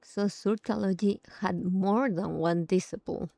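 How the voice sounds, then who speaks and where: A woman's voice: mid-range voice, fairly even intonation, unhurried, vocal fry, warm tone, no echo. A woman streamer giving casual game commentary in short, musing reactions.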